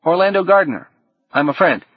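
Audiobook teen hero, played by a man: earnest, emphatic, pitched up, faintly husky, dry close sound. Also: expressive, normal speed, dry recording, narrow, tinny audio. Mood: polite, urgent. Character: earnest, insistent teenage boy hero.

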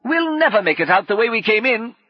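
A man's voice: high-pitched, animated, moderate pace, slightly rough, no room sound, dull audio, voice pushed up. A man voicing a bold, reckless male adventurer with hearty, clipped upper-class bluster, in an audiobook. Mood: shouting. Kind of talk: audiobook character voice.

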